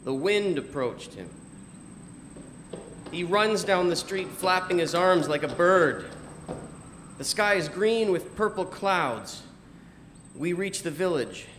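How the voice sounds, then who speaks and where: A man reading a first-person story as narrator in a measured, theatrical, declamatory manner. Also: high-pitched, lively intonation, fairly bright tone, slight background noise. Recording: music behind the voice. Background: music.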